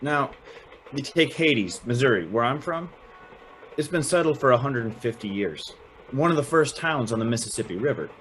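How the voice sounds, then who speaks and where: A man's voice: mid-range voice, expressive, moderate pace, a bit bright, slight background noise, natural voice. A man playing an eager, naive young prep school student with a folksy, chatty manner in an old-time radio drama. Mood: curious, friendly. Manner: folksy, chatty, hesitant narrating and exclaiming.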